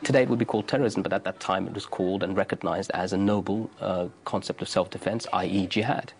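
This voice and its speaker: A man's voice: sits low, steady tone, fast, slight background noise. A man in his thirties from England, answering interview questions earnestly and insistently, often restarting his phrases.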